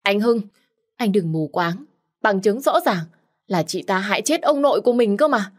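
A woman voicing a jealous young wife who pleads and accuses in a shrill, raised voice. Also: lively intonation, normal speed, natural voice. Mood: shrill accusing.

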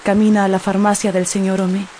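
A woman's voice: mid-range voice, level tone, fast, dark tone, slight background noise. Solemn woman narrating a radio drama.

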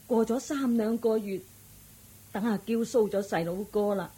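Husky, long-suffering old mother. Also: fairly high pitch, normal speed, dark tone. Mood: resigned. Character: aggrieved but forbearing elderly mother-in-law. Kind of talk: Cantonese radio drama acting.

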